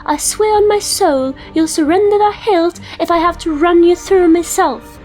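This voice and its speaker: A woman's voice: very high-pitched, moderate pace, a little mellow, soft background music, pitched above the performer's own voice. A woman voicing a proud, scornful fantasy rogue who speaks in ornate, pompous phrases for a comic dub. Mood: scornful, indignant. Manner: ornate, pompous, florid wording.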